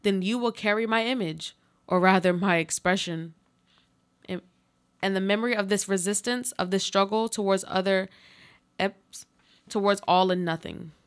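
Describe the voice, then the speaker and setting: A woman's voice: medium pitch, clean tone, lightly acted. Woman acting as solemn, resilient dying woman. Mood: solemn, defiant. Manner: solemn, deliberate, poetic. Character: frail dying woman, resilient, addressing her other self. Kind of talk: live radio play monologue.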